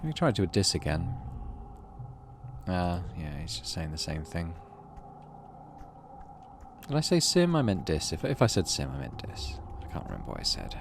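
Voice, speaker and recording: British male gaming host, lowish voice with very varied pitch, casual commentary and read-aloud narration. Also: big pitch swings, moderate pace, pauses often, background noise. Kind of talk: casual playthrough commentary, reading game text aloud.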